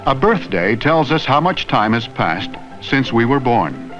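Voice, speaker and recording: A man narrates an old classroom film in a slow, clear, teacherly way, his pitch rising and falling with plenty of movement. The sound is dull and muffled, like an old film soundtrack. Soft music runs faintly underneath. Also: very animated. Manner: slow, clear, teacherly delivery with questions to the viewer. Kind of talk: scripted educational narration.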